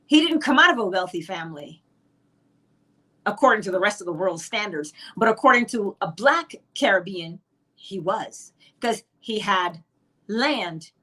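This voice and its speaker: A woman's voice: medium pitch, a bit bright, rough recording. Emphatic Barbadian woman teaching spirituality. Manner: emphatic, rhetorical questions, acted quotes. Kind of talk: monologue on manifestation.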